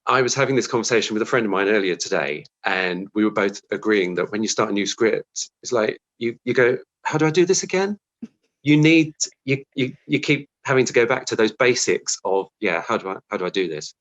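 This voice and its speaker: Low-pitched man chatting as interview guest. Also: animated, fairly quick, fairly bright tone, muffled recording. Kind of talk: casual interview chat about writing craft.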